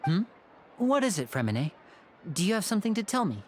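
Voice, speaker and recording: Woman playing a poised young magician, animated delivery. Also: medium pitch, fairly quick, slight background noise, natural voice. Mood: affectionate. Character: poised, affectionate young big sibling, a stage magician. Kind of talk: English video game voice acting.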